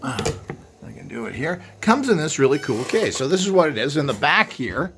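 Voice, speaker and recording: A man's voice: on the high side, big pitch swings, brisk, background noise. A man presenting equipment in a casual, unscripted show-and-tell, with a blunt, griping, opinionated way of talking. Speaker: presenter demonstrating vintage equipment.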